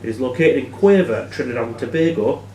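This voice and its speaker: A man's voice: mid-range voice, animated, fast, mellow. Echoey, distant male quizmaster. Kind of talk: reading out quiz questions to players. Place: live in a pub. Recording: echoey room, distant microphone.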